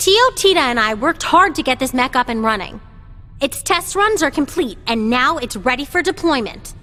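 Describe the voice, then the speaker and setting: A woman's voice: high-pitched, expressive, normal speed, pushed and bright, soft background music. An actress playing a determined young woman in a video game, earnest and assertive, out to prove she has grown up. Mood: earnest, resolute. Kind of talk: English video game dub acting. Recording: echoey hall reverb.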